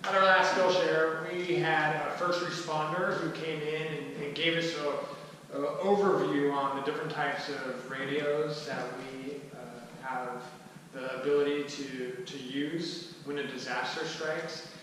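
A man in his thirties giving an informal, conversational explanatory talk to a small group, with somewhat halting delivery. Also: on the high side, steady tone, fairly bright tone. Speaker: presenter at a skillshare. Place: large indoor room with wooden floor and mirror. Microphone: distant camera microphone. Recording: echoey hall, far from mic, faint noise.

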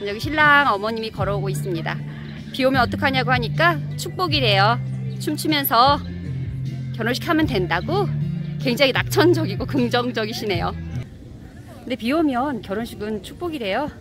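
A woman's voice: fairly high pitch, expressive, a little fast. A woman narrating a wedding in a casual, chatty way, laughing often. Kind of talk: casual voice-over commentary. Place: outdoors at a wedding in Kenya. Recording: with music playing behind.

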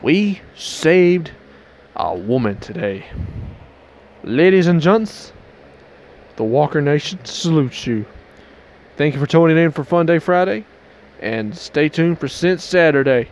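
A man's voice: a little high, expressive, slow, long pauses, darkish tone, a little hiss or hum. Young man rambling into a headset. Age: young adult. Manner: rambling, loose restarts, Southern y'all. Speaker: streamer. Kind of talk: casual stream outro to camera. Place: small bedroom at night. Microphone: headset mic.

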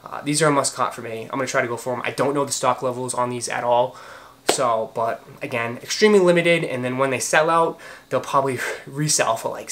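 A man's voice: medium pitch, a bit bright, slight background noise. A man in his thirties from Boston with a Boston accent, talking casually to camera in a room at home, chatty and enthusiastic with many fillers and restarts. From Boston, Massachusetts. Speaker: sneaker vlogger. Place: home room with shoe shelves, indoors.

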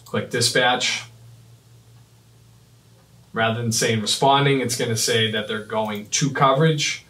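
A man in his thirties giving a step-by-step software demonstration in short, clipped instructional phrases. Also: mid-range voice, fairly even intonation, measured pace, pauses often. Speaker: fire dispatch trainer. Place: small indoor office at a computer desk. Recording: roomy, echoing, mic at a distance.

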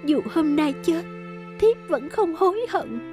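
A woman voicing a gentle, devoted, sorrowful young fox-spirit wife in a cartoon fairy tale. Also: very high-pitched, expressive, measured pace, music in the background.

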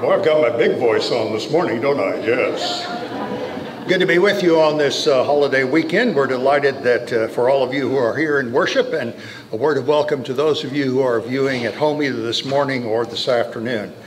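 Genial man making church announcements. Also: mid-range voice. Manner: genial, joking, halting with fillers. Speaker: pastor giving announcements. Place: church sanctuary at a lectern. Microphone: lectern mic, filmed from mid-room.

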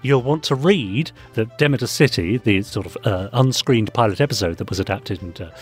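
A man's voice: fairly low pitch, animated, brisk, faint music behind. A man co-hosting a podcast, chatty and jokey, with a theatrical presenter's delivery. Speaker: podcast co-host.

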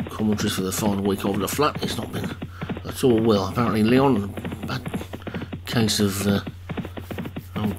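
A man commentating a video game horse race for an online league, chatting between calls, his voice getting croaky. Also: on the low side, rough recording.

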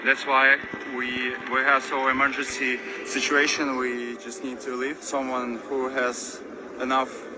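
Guy talking to a reporter outside, scared and halting, loud traffic behind him. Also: medium pitch, monotone. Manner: halting, fearful, simple non-native English. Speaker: interviewed resident fleeing a city. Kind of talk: news street interview. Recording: noisy outdoor news clip with traffic.

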